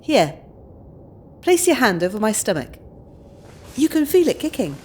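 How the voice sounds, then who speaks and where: Actress as spirited young British wife, earnest and courteous, animated intonation, audio drama. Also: female voice, on the high side, a little slow, slight background noise. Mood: cheerful. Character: spirited young British expectant mother, polite but outspoken feminist.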